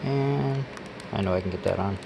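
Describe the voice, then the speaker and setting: Male reviewer, lowish gravelly voice, halting off-the-cuff product walkthrough, noticeable background noise. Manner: unscripted, halting, pausing while handling items. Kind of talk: casual hands-on gadget demonstration. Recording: steady background hum and noise.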